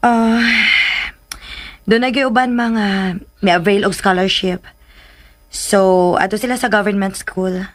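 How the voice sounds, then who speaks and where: A woman's voice: medium pitch, fairly even intonation, takes it slowly, close to an everyday voice. A young woman acting an affectionate, thoughtful daughter in a Filipino radio drama, with a Bisaya accent.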